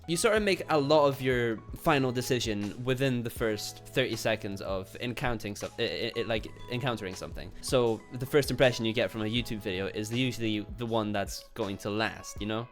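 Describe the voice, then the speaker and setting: A man's voice: medium pitch, animated, a little fast, hardly any pauses, soft background music. A young man from Poland with a Polish accent giving informal, sweary YouTube commentary and reading comments aloud. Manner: informal, swearing, chuckles between remarks.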